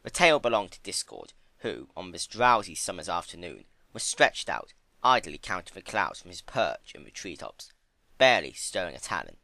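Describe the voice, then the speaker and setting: Bright, expressive man narrating a story. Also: deep voice, moderate pace, vocal fry, no room sound. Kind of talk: dramatic reading of fan fiction.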